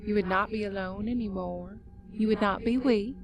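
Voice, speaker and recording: A woman voicing a sly, soft-spoken female spider from another world, gentle and wheedling. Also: mid-range voice, moderate pace, over music, natural voice. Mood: coaxing. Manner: gentle, wheedling, playful then hushed. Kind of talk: horror story voice acting.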